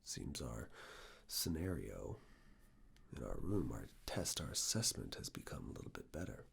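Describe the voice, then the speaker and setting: A man in an ASMR roleplay playing a stern, controlling yet reassuring fitness assessor, giving hushed, clipped commands in a breathy, gravelly voice that often slips into whispers. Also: extremely low pitch, very animated, normal speed, full character voice. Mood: firm, focused. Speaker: ASMR performer. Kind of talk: ASMR personal attention roleplay.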